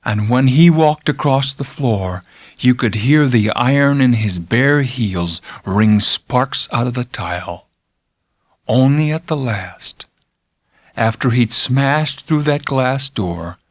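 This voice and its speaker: A man reading a literary first-person story as an audiobook narrator, dramatic, intense and deliberate, with hushed drops and swells. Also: medium pitch, dry recording, clean studio sound.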